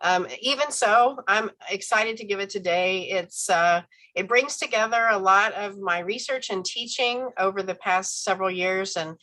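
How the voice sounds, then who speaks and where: A woman's voice: mid-range voice, normal speed, pushed and bright, rough recording. A woman professor giving an online lecture while stuffy and congested from a head cold, speaking conversationally with ums and self-corrections. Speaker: university professor giving a talk.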